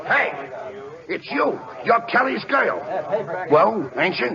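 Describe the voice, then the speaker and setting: A man's voice: high-pitched, big pitch swings, takes it slowly, creaky, a crowd in the background, full character voice. A man playing a brash, good-hearted, slangy big league ballplayer in an old-time radio comedy. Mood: urgent, brash. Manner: streetwise slang, wisecracking. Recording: noisy muffled old radio broadcast recording.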